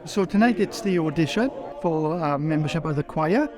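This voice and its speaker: High-voiced man, heard from a distance. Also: animated, normal speed, over music. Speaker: choir auditionee.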